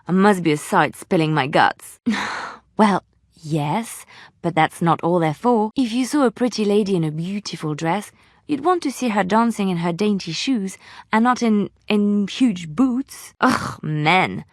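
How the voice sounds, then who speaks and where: A woman voicing a gentle, devout young bard in a fantasy game, earnest and emotive with thoughtful pauses. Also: medium pitch, expressive, no echo, studio quality. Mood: wry, light. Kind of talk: dramatic fantasy game dialogue acting.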